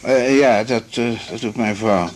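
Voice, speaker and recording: A man's voice: on the low side, measured pace, natural voice. A man playing a smug, conservative, patronizing older doctor in a radio drama, pompous and full of hesitant ehs. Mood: businesslike. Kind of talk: 1980s Dutch radio drama acting.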